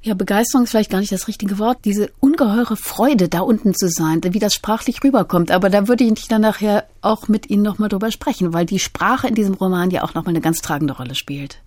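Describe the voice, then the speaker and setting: Woman doing a book interview on radio, mid pitched, analytical and engaged, no room echo. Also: brisk, few pauses, darkish tone, clean studio sound. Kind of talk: literary interview.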